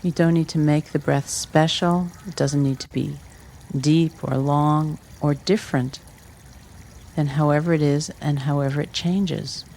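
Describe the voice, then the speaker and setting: A meditation teacher giving guided instruction in measured, short phrases with pauses between them. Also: mid-range voice, fairly even intonation, gravelly, darkish tone, slight background noise. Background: trickling water ambience.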